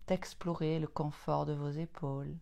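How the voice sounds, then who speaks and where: A woman leading a guided yoga nidra relaxation in a soothing, unhurried manner, in short phrases separated by long pauses. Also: deep voice, flat delivery, gravelly, dark tone.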